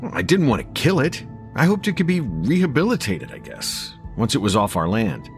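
A man's voice: medium pitch, huge pitch swings, moderate pace, faint music behind. An actor playing a grave, responsible father in a horror audio drama, somber and resolute. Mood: somber, resigned. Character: grave, responsible father running a family campground.

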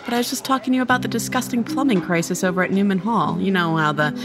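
A woman's voice: mid-range voice, fast talker, over music, close to an everyday voice. A young woman acting a chatty, blunt college student who cares about her friend, in a casual, wry audio drama delivery. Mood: mildly exasperated. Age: young adult. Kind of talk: dramatic dialogue in old time radio style audio play.

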